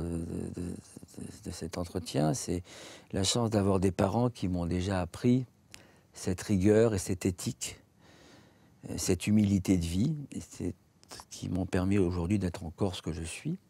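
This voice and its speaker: A man in his forties with a French accent, a shoe salesman giving an earnest, reflective personal testimony, speaking in measured phrases with pauses. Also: sits low, steady tone, vocal fry, mellow, breathy edge, no echo. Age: 40s.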